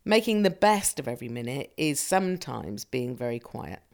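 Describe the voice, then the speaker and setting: A woman's voice: extremely low pitch, big pitch swings, moderate pace, creaky. A middle-aged woman in a thoughtful, searching conversation, often restarting her sentences. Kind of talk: reflective casual conversation.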